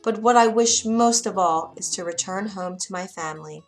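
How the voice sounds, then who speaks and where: A woman's voice: medium pitch, normal speed, faint music behind, natural voice. A woman voicing a brave young woman warrior in a storybook reading, in a raised, earnest and pleading tone. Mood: polite. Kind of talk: storybook character voice.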